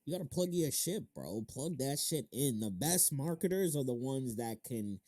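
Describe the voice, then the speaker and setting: A man's voice: mid-range voice, sing-song, normal speed, dark tone, no echo, clean studio sound. A man hosting a casual interview, talking in slangy, profanity-laced hype as he tells stories. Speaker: interviewer, YouTube channel host.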